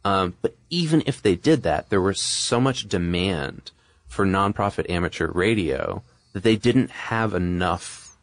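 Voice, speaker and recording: A man's voice: low voice, expressive, measured pace, no room sound. A man co-hosting a podcast, explaining history in a casual, discursive, conversational way. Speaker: podcast co-host.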